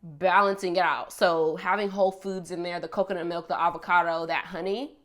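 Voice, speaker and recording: A woman's voice: fairly low pitch, steady tone, fairly quick, a bit bright. A young woman with a congested voice from a cold, chatting casually through her hair-care routine at home. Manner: chatty, upbeat, walks through each step. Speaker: beauty vlogger.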